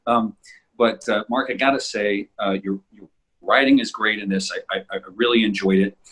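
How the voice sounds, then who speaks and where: A man in a casual, halting discussion, speaking appreciatively as co-creator of an audio drama podcast. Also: lowish voice, fairly bright tone, husky, poor audio. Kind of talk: casual discussion of their own work.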